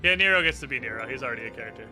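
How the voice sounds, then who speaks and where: A man livestreaming a game with lively, sarcastic, irreverent commentary and plenty of swearing. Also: medium pitch, very fast, faint music behind. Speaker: livestreamer playing an online role-playing game. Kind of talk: lively gameplay commentary with mock dialogue readings.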